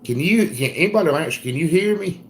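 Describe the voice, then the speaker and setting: A man's voice: fairly high pitch, expressive, quick pace. A man with a thick Southern accent chats to his live viewers in a loose, folksy ramble. He picks up their comments and answers them as he goes. A faint hiss sits under his voice. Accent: Southern US, South Carolina. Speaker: homestead livestream host. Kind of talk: casual live-stream chat.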